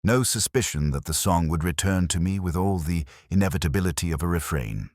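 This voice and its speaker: A man playing a weary, erudite, melancholy police detective who narrates his story in a measured, literary first person. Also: deep voice, animated, a little mellow, no room sound, studio quality. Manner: measured, brooding, wistful.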